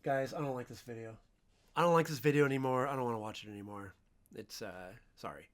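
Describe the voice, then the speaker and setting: A man's voice: mid-range voice, fast, a little hiss or hum. A man, a ramen critic, casually reacting to videos on a long livestream with offhand running commentary.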